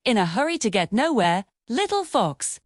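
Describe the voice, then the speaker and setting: A woman voicing a cheerful, wise female parrot who gives gentle, patient guidance in a children's storybook. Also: fairly high pitch, sing-song, moderate pace, no room sound, studio quality. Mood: cheerful teasing.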